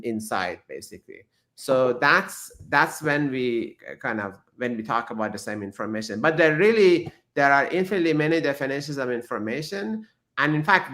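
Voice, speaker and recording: A man with a bright, forward voice talks through physics ideas as an interview guest. His first language is Persian, and he speaks in a thoughtful, halting way, restarting phrases and filling pauses with "um" and "uh". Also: medium pitch. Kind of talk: explanatory interview talk.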